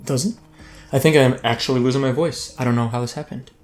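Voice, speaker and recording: A man's voice: mid-range voice, fairly even intonation, fast talker, a little mellow, soft background music. A man hosting a let's play, giving casual, rambling gameplay commentary that keeps breaking off mid-thought. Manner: rambling, self-interrupting, chatty.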